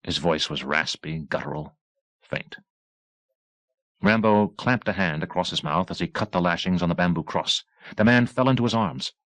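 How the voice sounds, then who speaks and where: A low-voiced male audiobook narrator reads dramatic fiction. His phrasing is measured and deliberate, and the sound is close and dry, with very little room. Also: fairly bright tone, no echo, low-quality recording. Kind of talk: scripted novel narration, dramatic.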